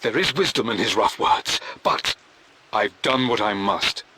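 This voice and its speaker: A forceful, reflective British gentleman explorer. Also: on the low side, lively intonation, unhurried, a little hiss or hum. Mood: bitter grief. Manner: formal, reflective, theatrical. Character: stubborn, grieving, devoted aristocratic explorer husband and father. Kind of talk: dramatic diary narration in a video game.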